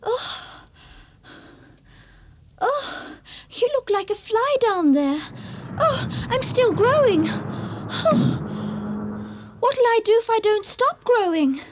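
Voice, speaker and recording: A woman voicing a curious, polite little girl in a cartoon, with a proper, expressive and dramatic manner. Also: very high-pitched, drawn-out words, long pauses, lo-fi sound, slight background noise, full character voice. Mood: alarmed. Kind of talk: animated cartoon dub acting.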